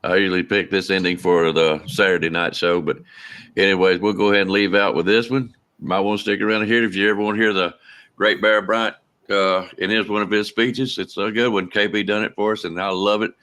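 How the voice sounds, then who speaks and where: A man hosting a casual sports show, his voice low-pitched but crisp and forceful in tone. He talks in a relaxed, friendly, rambling way, laughing easily with his guests. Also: a little fast. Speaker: show host.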